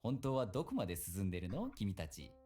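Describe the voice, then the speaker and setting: A man voicing an easygoing, gently teasing policeman and mentor in a visual novel. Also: lowish voice, huge pitch swings, very fast, breathy edge, soft background music, heavily acted. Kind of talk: Japanese visual novel voice acting.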